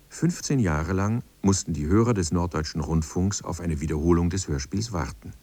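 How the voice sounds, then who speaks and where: A man's voice: low-pitched, dark tone. A man reading a scripted documentary narration as its narrator.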